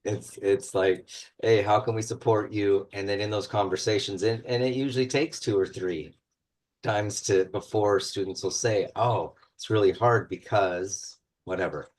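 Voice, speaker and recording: Man, very low voice, instructor on a panel, casual and thoughtful. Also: fairly even intonation, moderate pace. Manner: conversational, thoughtful, many fillers. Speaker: university instructor. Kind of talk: panel discussion.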